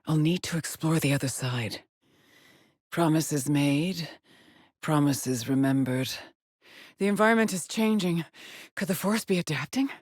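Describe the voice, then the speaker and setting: A woman's voice: sits low, measured pace, pauses often, a little mellow, no echo, studio quality. An actress voicing a haunted, determined female astronaut scout in a video game, with terse, introspective, formal phrasing. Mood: haunted, mournful. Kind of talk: dramatic video game monologue and log entries.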